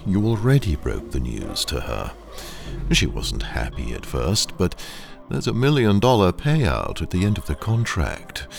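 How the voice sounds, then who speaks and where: Ruthless corporate handler in an audio drama: low-pitched, creaky, smoothly controlling. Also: male voice, exaggerated voice. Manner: slow, deliberate, coaxing. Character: ruthless, sinister male corporate training engineer, smooth and controlling.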